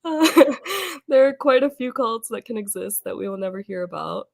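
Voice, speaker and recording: A woman hosting a casual book club chat over a video call, losing her voice as she gets over an illness, chatty and quick to laugh. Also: on the high side, animated, creaky. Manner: chatty, laughs often, trails off.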